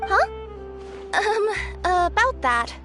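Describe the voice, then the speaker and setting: A bubbly young courier girl in a video game, easily flustered. Her voice is extremely high and leaps about in pitch. Music plays clearly behind her. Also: big pitch swings, drawn-out words, pushed and bright, full character voice.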